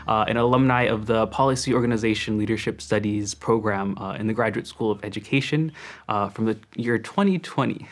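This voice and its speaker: A young man who works in admissions, speaking hesitantly with frequent fillers. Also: fairly low pitch. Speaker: admissions recruiter.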